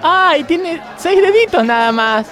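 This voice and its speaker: A woman's voice: extremely high pitch, animated, slow, fairly bright tone, faint music behind, exaggerated voice. A woman with a Rioplatense Argentine accent playing a fussing, doting, scatterbrained mother who gushes in baby talk, in a radio comedy sketch. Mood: gushing. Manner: dim, gushing, baby-talking to her child.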